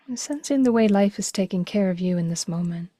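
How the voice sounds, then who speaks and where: A woman leading a guided meditation slowly, in a gentle, hushed, breathy voice with long pauses. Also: mid-range voice, dry recording. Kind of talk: guided meditation, slow and spacious.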